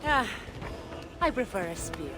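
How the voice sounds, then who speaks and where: A woman's voice: fairly high pitch, animated, unhurried. An actress playing a confident, cool female mercenary in a video game, probing and self-assured. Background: game music.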